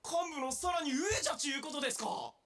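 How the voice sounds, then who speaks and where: A woman's voice: high-pitched, rapid-fire, fairly bright tone. A woman voicing a deferential, easily startled young follower in a period game drama. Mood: surprised, hesitant.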